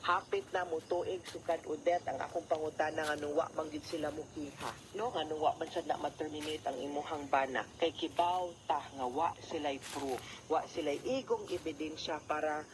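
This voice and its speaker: A woman's voice: low-pitched, steady tone, moderate pace. Cebuano woman giving radio advice over noise. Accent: Cebuano Filipino. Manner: explanatory, punctuates points with tag questions. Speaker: radio legal advice host, lawyer. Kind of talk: conversational legal advice on radio.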